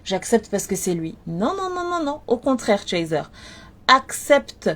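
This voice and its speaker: A woman talking earnestly and directly to her listeners, repeating words and pausing on fillers as she gives spiritual advice. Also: on the high side, very animated, a bit bright. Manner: earnest, repetitive, lots of fillers. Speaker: spiritual advice content creator. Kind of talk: casual talk.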